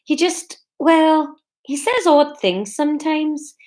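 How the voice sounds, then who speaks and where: A woman voicing an awkward, hesitant young fiancé in a story, with an attempted Scottish accent and a halting, defensive manner. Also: high-pitched, expressive, a little mellow, pitched above the performer's own voice. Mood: hurt, hesitant. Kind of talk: audiobook-style character dialogue.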